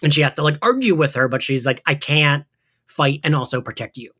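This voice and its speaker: A man's voice: on the high side, lively intonation, brisk, fairly bright tone. A man hosting a podcast, chatting casually and rambling through a pop-culture recap with slang and swearing. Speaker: podcast host.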